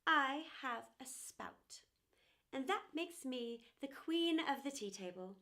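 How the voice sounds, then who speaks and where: A high-pitched, self-important porcelain teapot with a wistful streak, voiced by a woman. It tells its life story in a grand, emphatic storybook manner meant for children. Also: animated, takes it slowly, pushed and bright, heavily acted. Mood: proud, self-important. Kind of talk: children's storybook character narration.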